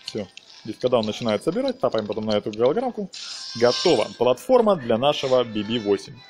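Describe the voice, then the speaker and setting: A man's voice: mid-range voice, sing-song, fast, darkish tone, faint music behind. A man giving a casual gameplay walkthrough, talking viewers through each step in slangy, diminutive-laden wording. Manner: talks viewers through steps, fond of diminutives and slangy words. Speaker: video game let's player.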